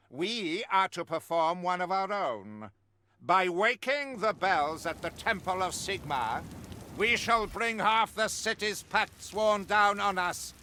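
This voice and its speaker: Casting: a zealous, stern witch hunter in a fantasy game; tense bright male voice, measured delivery, theatrical and self-righteous. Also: high-pitched, exaggerated voice. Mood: grim, brooding, then fervent, righteous. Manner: pompous, archaic, emphatic declamation. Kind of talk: theatrical scripted video game monologue.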